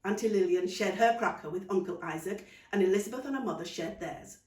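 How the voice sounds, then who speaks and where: A woman author reading her own children's story in animated storybook narration. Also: mid-range voice, brisk. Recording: roomy, distant microphone.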